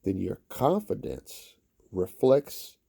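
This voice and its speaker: A man's voice: low-pitched, wildly swooping pitch, slow, vocal fry, mellow, breathy edge. A man hosting his own channel, giving a motivational talk to camera and repeating phrases for emphasis.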